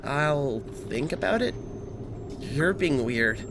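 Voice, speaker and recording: Woman voicing a low-pitched, boyish robot hero. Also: lively intonation, takes it slowly, full character voice. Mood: awkward. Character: earnest, good-natured young robot boy hero. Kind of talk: cartoonish comic dub acting. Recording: faint noise, ambience behind.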